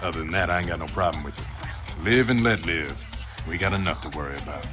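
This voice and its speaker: Man playing a deep-voiced gruff streetwise vampire. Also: quick pace, close to an everyday voice. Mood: wary. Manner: slangy, gruff, street-talking. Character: streetwise anarch vampire, Vietnam veteran, blunt and wary. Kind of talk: video game character dialogue. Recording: game music behind the voice.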